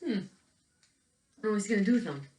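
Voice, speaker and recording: A woman reads a children's picture book aloud expressively, pausing to chat about the pictures as if to young children. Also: medium pitch, expressive, a little mellow. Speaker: read-aloud storyteller. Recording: roomy, echoing indoor recording.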